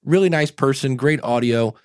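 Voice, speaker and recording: A man's voice: mid-range voice, moderate pace, no room sound, studio quality. A man hosting a podcast, giving his opinions in a casual, rambling way. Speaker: podcast host.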